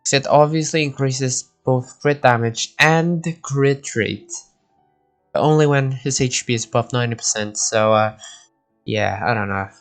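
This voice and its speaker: Guy streaming a game, talks slow, kinda rambles thinking out loud, quiet music under him. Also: mid-range voice. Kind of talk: casual live gameplay commentary.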